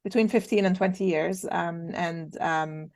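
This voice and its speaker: A woman in her forties who grew up in Jordan with Arabic as her first language speaks in a fairly low voice. She answers at an unhurried pace, pausing often and filling gaps with "um" and "you know". The recording is dry and close, with no room sound. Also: dry recording. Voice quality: thoughtful, many "um" and "you know" fillers. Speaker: interview guest, occupational therapist. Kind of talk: conversational interview.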